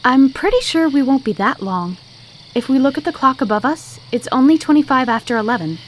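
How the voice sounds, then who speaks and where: A woman's voice: sits high, lively intonation, fairly quick, a little hiss or hum. A woman voicing a confident, impatient young cartoon creature in an audio drama. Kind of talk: audio drama character acting.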